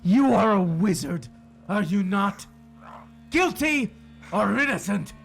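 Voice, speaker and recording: A cruel, commanding sheriff from a witch-trial audio drama. His voice is high and swings widely as he declaims slow, deliberate demands. Music plays underneath. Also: expressive, gravelly, a little hiss or hum, heavily acted. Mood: angry.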